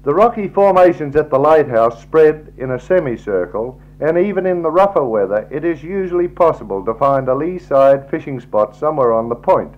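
A man with an Australian accent gives a measured, formal scripted travelogue narration in a 1960s style. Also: on the high side, animated. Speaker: slideshow narrator. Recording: dull, lo-fi 1960s tape recording.

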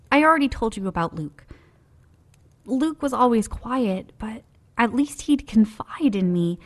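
A young survivor narrating her journal in an audio drama. She is pensive, uneasy and confiding, taking her time at a mid pitch. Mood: worried, confiding.

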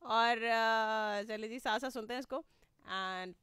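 Chatty high-voiced radio host woman. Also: takes it slowly, fairly bright tone, clean tone, dry recording, studio quality. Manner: chatty, laughs often, mixes in English. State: sore throat. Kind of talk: morning radio show, reading listener messages. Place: radio studio. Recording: music playing underneath.

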